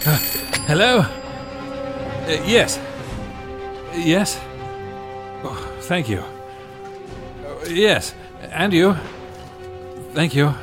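An actor playing a jubilant middle-aged Welsh politician in a historical drama scene. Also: male voice, a little high, animated, extremely slow, pauses often, music in the background, exaggerated voice. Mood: businesslike. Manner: jubilant, breathless laughter.

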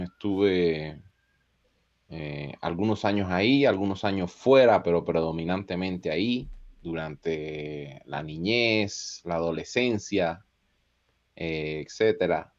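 A man's voice: deep voice, slow. A man from Costa Rica speaking casually as a podcast guest, in a dull, muffled recording. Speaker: podcast guest, content creator. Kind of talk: casual autobiographical conversation.

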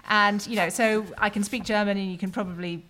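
A woman's voice: mid-range voice, fairly even intonation, brisk, sharp tone, rough recording. A woman in her forties hosting a podcast interview, chatting and telling anecdotes with plenty of fillers. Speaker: podcast host.

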